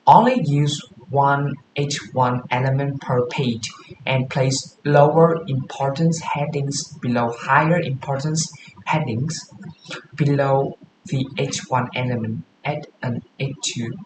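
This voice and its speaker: Slow, halting man with a Vietnamese accent. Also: medium pitch, echoey room, background noise. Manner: halting, often stops to read and correct himself. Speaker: beginner coder live streaming. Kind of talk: reading a coding course aloud on a live stream.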